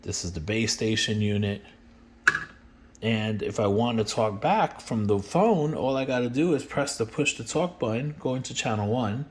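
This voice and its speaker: A man presenting a product in an informal, plain-spoken demonstration and sales pitch, explaining things step by step. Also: normal speed, a little mellow. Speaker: company representative presenting a product.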